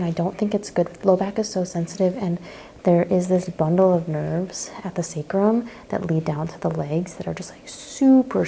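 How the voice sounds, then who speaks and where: A woman speaking softly and breathily, at times in a whisper, as a gentle, reassuring practitioner in an ASMR role-play. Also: on the low side, creaky, slight background noise. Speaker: ASMR host role-playing a chiropractor.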